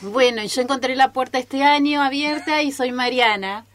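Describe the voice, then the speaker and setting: A woman's voice: high voice, normal speed, fairly bright tone. A woman with an Argentine accent, speaking casually as a drama group guest in a radio studio. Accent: Argentine Spanish.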